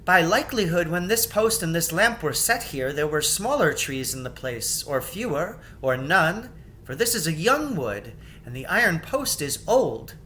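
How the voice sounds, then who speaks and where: A man's voice: big pitch swings, normal speed, sharp tone. A man voicing a grave, gracious young queen in a storybook fantasy, speaking in formal, stately, archaic phrases. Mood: wondering, foreboding. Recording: background noise with low rumble.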